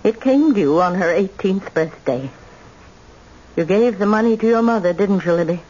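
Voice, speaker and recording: A woman's voice: medium pitch, lively intonation, normal speed, mellow, close to an everyday voice. A woman playing an indomitable, bitter old grandmother, imperious and emphatic, in old-time radio drama style. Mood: bitter, scornful. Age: older. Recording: dull, noisy old radio recording.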